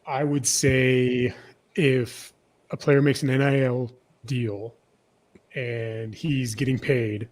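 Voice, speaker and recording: A man with a medium-pitched voice talks casually as a podcast co-host. He is plain-spoken and lays out his thoughts in a measured way. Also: level tone, a little mellow.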